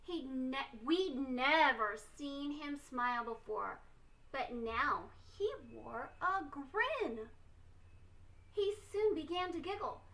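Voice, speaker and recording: A woman reading a children's storybook aloud expressively, with theatrical, deliberate emphasis. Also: sits high, lively intonation, fairly bright tone. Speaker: storytime reader.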